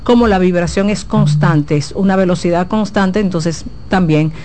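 A woman's voice: lowish voice, moderate pace, warm tone, slight background noise. A woman giving frank, explanatory advice as a guest sex therapist on a talk show.

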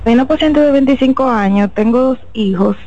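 A woman's voice: fairly high pitch, fairly quick, mellow. A woman in her twenties calling into a radio show, describing her symptoms hesitantly over a phone line. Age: 20s. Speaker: caller.

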